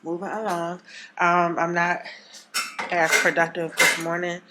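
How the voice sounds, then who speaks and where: A woman in her thirties with a stuffy, congested nose, chatting casually in her home kitchen for a vlog, rambling with fillers and clearing her throat. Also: fairly low pitch, completely flat delivery, measured pace. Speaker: vlogger. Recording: noisy home recording.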